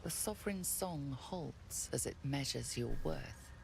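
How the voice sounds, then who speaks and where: Very low, creaky fantasy narrator woman. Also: animated, unhurried, crowd noise, heavily acted. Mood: grave, ominous. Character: grave, hushed female storyteller of a fantasy game. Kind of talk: scripted game narration.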